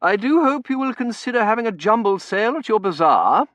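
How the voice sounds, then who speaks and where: A man's voice: high-pitched, very animated, brisk, fairly bright tone, dry recording, voice pushed up. A man voicing a chatty, managing society matron in an audiobook, fluting and self-assured. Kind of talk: audiobook character voice.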